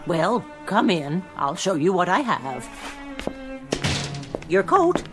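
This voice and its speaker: An actress playing a brisk, fussy, proper boarding-house landlady of an earlier century in a radio drama, formal and inquisitive. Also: lowish voice, big pitch swings, over music.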